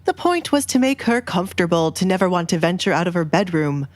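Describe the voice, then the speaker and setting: A woman's voice: on the low side, very animated, a little fast, dry recording. A woman voicing a weary, cautious, calculating husband and father in an audiobook drama. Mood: reproachful.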